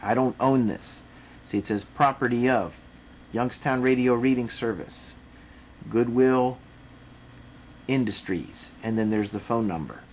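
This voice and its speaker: An American man presents a casual, unscripted product demonstration. He explains things step by step, pausing and restarting as he goes. The home camera recording carries faint background noise. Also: mid-range voice, poor audio. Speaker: video presenter demonstrating a radio. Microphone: camera microphone.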